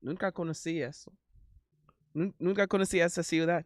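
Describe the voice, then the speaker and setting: A man's voice: on the high side, fairly even intonation, normal speed, no echo, studio quality. A man from Miami with Haitian family, native English speaker, hosting a casual livestream and chatting with hesitant ums, switching between Spanish and English. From born in Miami, Haitian family. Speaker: livestream host and singer.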